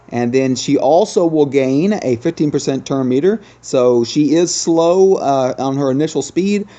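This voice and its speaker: A man's voice: medium pitch, warm tone. A man hosting a casual game review, talking conversationally and thinking aloud as he goes. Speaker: gaming channel host. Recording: dull, lo-fi sound.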